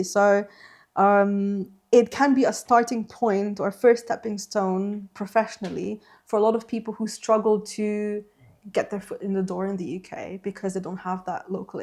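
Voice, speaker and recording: A woman's voice: medium pitch, a little mellow. A woman whose first language is Slovene, answering interview questions on a podcast in a thoughtful, self-deprecating way with many fillers. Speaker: interpreter trainer.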